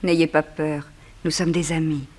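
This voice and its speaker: Reassuring female ally: low register, unhurried, soft vintage radio delivery, faint noise. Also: little pitch movement. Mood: soothing. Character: gentle, reassuring female helper of the detective. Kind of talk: early 1960s radio drama acting.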